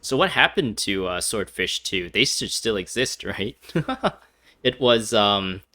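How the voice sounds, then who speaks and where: Low-voiced man rambling over gameplay. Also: sing-song, takes it slowly, pushed and bright. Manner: rambling, many fillers, laughs often. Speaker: gameplay streamer. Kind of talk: casual commentary over gameplay.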